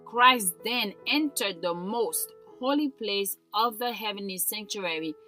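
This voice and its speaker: Bright-voiced woman reading a solemn devotional. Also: mid-range voice, low-quality recording. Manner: solemn, deliberate, formal diction. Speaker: devotional reader. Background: instrumental music.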